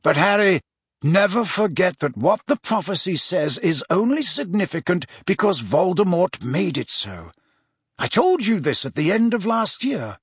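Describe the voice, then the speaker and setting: A man playing an emphatic old wizard mentor, swooping pitch. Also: big pitch swings, measured pace, breathy edge, no echo, clean studio sound, full character voice. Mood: earnest, measured. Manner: emphatic, formal, rhetorical. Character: old wise headmaster wizard, earnest, urgent mentor.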